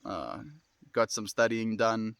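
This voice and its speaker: A man in his twenties with a South African accent, chatting casually and fast with viewers on a livestream. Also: fairly low pitch, creaky, a bit bright, smooth, no room sound. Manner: fast-talking, friendly, reads and answers comments.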